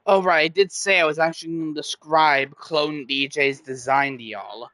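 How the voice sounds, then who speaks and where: A man with a fairly high, bright and forceful voice talks casually to his stream chat. He rambles, restarts and corrects himself as he goes. The sound is dry and close. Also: normal speed, no echo.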